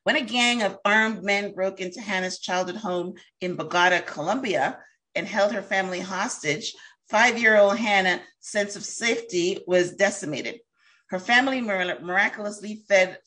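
A woman hosting an interview with a bright, forceful voice. She sounds openly excited, drops in lots of ums and you-knows, and laughs easily between thoughts. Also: medium pitch. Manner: enthusiastic, laughs often, many fillers. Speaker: host. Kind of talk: casual interview chat.

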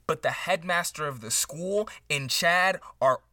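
A man's voice: a little high, a little slow, pushed and bright, no echo, far from the mic. A man playing an earnest amateur book podcaster in an improvised comedy, giving rambling, casual recaps. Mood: excited. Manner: rambling, hedging plot recap full of "you know". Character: earnest male amateur book podcaster, eager writer friend. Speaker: co-host of a book review podcast.

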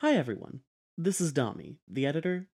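A man's voice: fairly high pitch, sing-song, a little fast, a little mellow. A man speaking as podcast host and editor, delivering an announcement to listeners.

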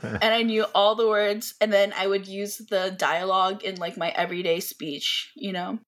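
A woman's voice: medium pitch, normal speed. Chatty woman from the Philippines. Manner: chatty and animated, with many fillers and laughs. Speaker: podcast guest. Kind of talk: casual conversational interview.

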